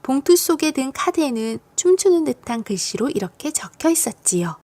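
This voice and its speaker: A woman narrates a children's story from a script. Her voice sits high and moves widely up and down. She tells it expressively, as if for young listeners. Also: sing-song, moderate pace, warm tone. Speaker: audiobook narrator of children's stories.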